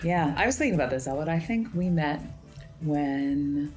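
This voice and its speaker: A woman's voice: low-pitched, animated, moderate pace, music in the background. Wry woman telling stories. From central Pennsylvania. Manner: wry, joking, storytelling. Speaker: podcast guest. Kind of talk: casual chat.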